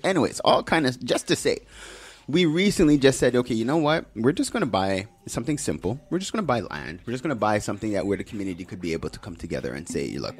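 Casual man hosting a talk show. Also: medium pitch. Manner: conversational, slangy. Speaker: show host. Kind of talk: casual podcast-style talk.

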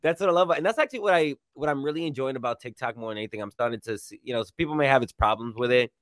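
A man from New York hosts a podcast in a casual, animated way, often repeating words as he restarts his sentences. His voice has a mid-range pitch, and the sound is dry and close. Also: rapid-fire, no room sound. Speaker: podcast host.

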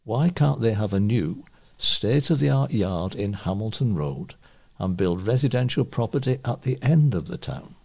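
Male volunteer news reader, fairly deep voice with noticeable fry, reading scripted local press items. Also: expressive, a little slow, darkish tone.

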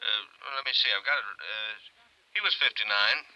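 An actor playing a gruff, impatient middle-aged American insurance man heard through a telephone in a 1950s radio drama. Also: on the low side, normal speed, poor audio. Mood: businesslike. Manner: forceful, impatient, clipped. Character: gruff, impatient male insurance bureau boss. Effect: telephone filter.

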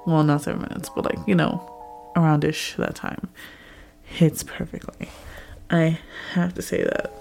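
A young woman with a raspy, slightly hoarse voice from a cold, chatting casually to her listeners as a podcast host, rambling with lots of fillers. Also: low voice, flat delivery, a little slow, pauses often, darkish tone, soft background music.

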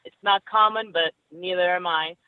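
A woman's voice: lowish voice, normal speed, no room sound. A woman wrestler telling stories in a casual interview, laughing often. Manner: laughing, animated storyteller. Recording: tinny phone line.

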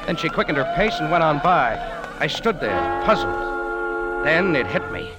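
A hardboiled American cafe owner who sleuths on the side. His delivery is wry, slangy and clipped, with lively swings of pitch, in old radio-drama style with music behind. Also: normal speed, poor audio. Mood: wry narration. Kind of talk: 1940s radio detective drama acting and first-person narration.

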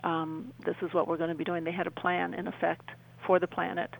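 A middle-aged woman giving a conversational personal account as an interview guest, often halting and restarting her phrases. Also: fairly low pitch, little pitch movement, gravelly, low-quality recording.

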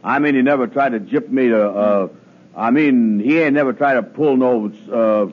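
An actor playing a slow, gullible, weary man in an old radio comedy, with a drawling, ungrammatical manner. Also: mid-range voice, husky, close to an everyday voice. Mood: indignant, confused. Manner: drawling, ungrammatical, muttering to himself. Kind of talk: 1950s radio comedy acting. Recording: narrow phone-like old radio recording, loud noise.

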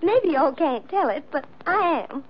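An actress playing a sweet, fretful young Southern belle with an American Southern accent in a 1940s radio drama. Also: female voice, high-pitched, animated, normal speed. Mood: gushing, coy. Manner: gushing, coy, effusive. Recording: dull, noisy old radio recording.

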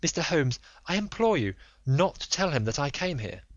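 A man's voice: a little high, sing-song, moderate pace, no echo, voice pushed up. A man voicing an anxious noble Victorian lady who pleads in formal, earnest speech. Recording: dull lo-fi dry recording.

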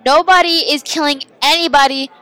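A woman's voice: sits high, fairly even intonation, brisk, sharp tone, no room sound. A woman voicing a teenage boy who scoffs at his friend. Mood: scoffing.

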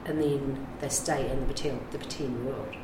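Middle-aged New woman, very deep voice, frank and rambling, echoey room with noise. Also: steady tone, normal speed. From New Zealand. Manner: frank, rambling, many fillers and restarts. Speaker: addiction recovery navigator. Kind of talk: candid interview talk.

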